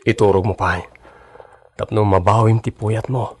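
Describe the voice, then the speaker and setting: A man's voice: lowish voice, fairly even intonation, measured pace, breathy edge. A man acting in an Ilocano radio drama as a devoted, hardworking young husband who drives a jeepney. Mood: reassuring, earnest.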